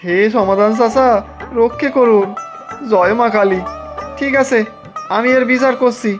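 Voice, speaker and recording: A woman's voice: a little high, measured pace, music in the background, close to an everyday voice. A woman voicing a humble, folksy village tea-seller uncle in a cartoon, given to exclamations. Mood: anxious, resolving. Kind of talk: animated cartoon dialogue.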